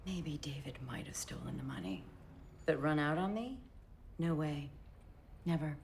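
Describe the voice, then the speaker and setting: An actress playing a brassy, hard-nosed 1920s wife with a blunt, slangy way of talking. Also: female voice, low voice, unhurried, gravelly, darkish tone, slight background noise. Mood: defiant.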